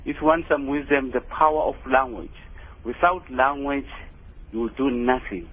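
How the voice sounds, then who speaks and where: A South African man speaks as a phone-in caller with a rough, husky edge. He presses his opinion earnestly and emphatically, doubling back on his words. The sound is muffled, like radio. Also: mid-range voice, moderate pace. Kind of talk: earnest opinion on a call-in show.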